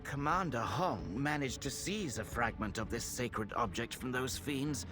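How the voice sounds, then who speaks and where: A man playing a zealous, brooding temple scholar in a fantasy video game, speaking in measured, theatrical, formal phrases. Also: medium pitch, expressive, music in the background, close to an everyday voice. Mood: weary, despairing. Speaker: video game character actor. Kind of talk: dramatic fantasy game dialogue.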